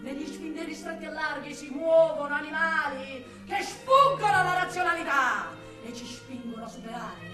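A woman's voice: high-pitched, lightly acted. An actress playing an unsettling, darkly ironic man with murderous thoughts, in a volatile theatrical radio monologue. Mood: fervent, ironic. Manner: volatile, swinging from murmurs to shouts, drawn-out words. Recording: very echoey, distant, music behind.

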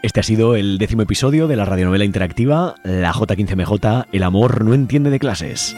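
A male radio host from the casual school of presenting, with a Spain accent. His voice is low and very lively, with faint music. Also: a little fast.

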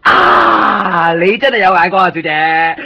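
A man acting an eager, chatty toy shop owner in a radio drama, rambling excitedly in Hong Kong Cantonese. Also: high voice, a little slow, vocal fry, lo-fi sound, full character voice. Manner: excitable, rambling, fond of showing off toys. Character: eager, chatty male second-hand toy shop owner, kind to customers. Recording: band-limited 1990s radio recording with faint music.

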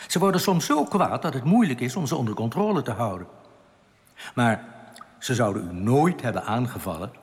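An actor playing a male alien outcast leader, reassuring, earnest and quietly bitter, explaining things deliberately in a radio drama. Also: mid-range voice, expressive, close to an everyday voice. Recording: long cavernous reverb.

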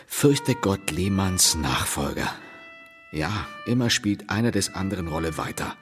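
An actor playing a tormented, fatalistic husband in a radio drama, husky-voiced, speaking in solemn, theatrical, brooding pronouncements. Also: male voice, low-pitched, lively intonation, a little slow, fairly bright tone, soft background music, exaggerated voice. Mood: grim, foreboding. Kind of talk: stage-like German radio play acting. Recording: long hollow reverb.

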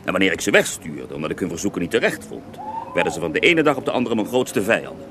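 An actor playing a pious, self-righteous Russian mystic healer in a radio drama, devout and wounded in manner. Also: male voice, deep voice, big pitch swings, fast talker. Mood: self-justifying. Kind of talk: radio drama acting, mock interview.

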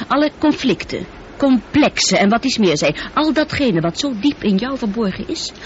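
An actress the playing a highly intelligent, humanlike female spaceship computer in a radio play, speaking in measured, articulate sentences. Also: close to an everyday voice. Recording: dull noisy old radio play recording.

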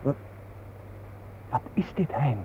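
A man's voice: slow, lightly acted. An actor playing a puzzled, skeptical man in a radio play, questioning with incredulity. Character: skeptical, puzzled male companion named Ben, questioning everything. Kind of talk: Dutch radio play acting. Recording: noisy, narrow-band old radio recording.

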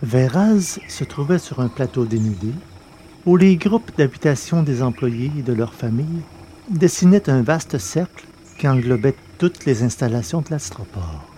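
Brief: male podcast host, steady measured audiobook narrator, mid pitch. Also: slight background noise.

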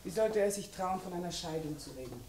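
An actress playing a subdued, sad woman talking of her lover, resigned and soft-spoken, in a German radio crime drama. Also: fairly low pitch, animated, brisk, lightly acted. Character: subdued, sad female lover of a murdered man. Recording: echoey, distant, noisy old radio recording.

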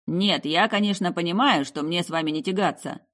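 A woman voicing a polite, erudite male necromancer in an audiobook, speaking in a measured, courteous way. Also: fairly low pitch, fairly bright tone. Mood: protective.

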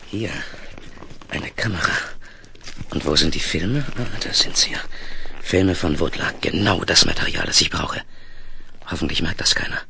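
An actor playing a crafty, daring, smooth-talking photo reporter in a 1970s German radio play. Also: male voice, deep voice, normal speed, long pauses, pushed and bright, a little hiss or hum. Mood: furtive.

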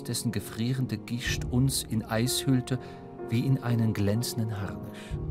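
An actor playing a reflective seafaring narrator, reading literary prose in a radio drama. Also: male voice, medium pitch, close to an everyday voice. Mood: evocative. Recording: music under the voice. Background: music.

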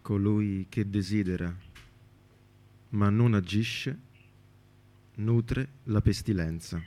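A man's voice: lowish voice, slow pace. A man presenting and interviewing live as a radio host.

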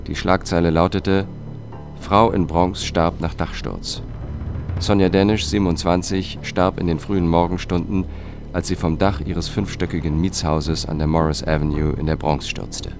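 An actor playing a weary, melancholic male investigator who narrates his own story in a measured, subdued way for a radio drama. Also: deep voice, fairly even intonation, music in the background. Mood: sober, factual.

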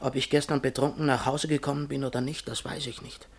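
An actor playing a timid, bewildered henpecked barber in a German radio play, musing in a ponderous, muddled way. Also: male voice, medium pitch, little pitch movement, quick pace, breathy edge. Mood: baffled musing.

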